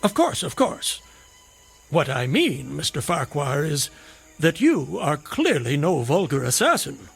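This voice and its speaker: An actor playing a wry, courteous, needling gentleman in a radio drama, with ornate and theatrical phrasing. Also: fairly high pitch, wildly swooping pitch, a little slow, creaky, slightly rough, faint music behind, exaggerated voice. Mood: wry, sardonic.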